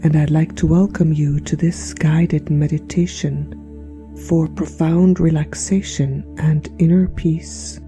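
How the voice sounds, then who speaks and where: A woman narrating a guided meditation in a soft, breathy, half-whispered voice with a gentle, soothing, hypnotic manner. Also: sits low, fairly even intonation, slow pace. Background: relaxation music.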